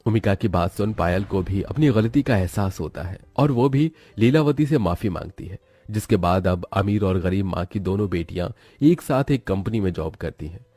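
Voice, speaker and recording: A man's voice: low-pitched, expressive, normal speed, hardly any pauses, warm tone, soft background music. A man reading scripted narration for a moral story as its narrator.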